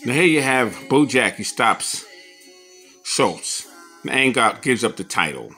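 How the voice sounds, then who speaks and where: A man giving a casual show-and-tell commentary as an enthusiastic collector, halting a little as he reads old clippings aloud. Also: mid-range voice, animated, bright, forceful tone, faint music behind. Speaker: boxing memorabilia collector showing his scrapbooks on video.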